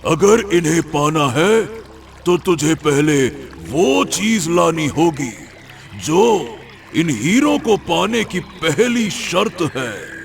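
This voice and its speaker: Creepy menacing guardian spirit, raspy creaky voice that swoops around, super drawn out, story style. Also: male voice, fairly high pitch, big pitch swings, slow, slight background noise, full character voice. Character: menacing, taunting guardian spirit of a diamond cave. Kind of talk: melodramatic animated story acting. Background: music.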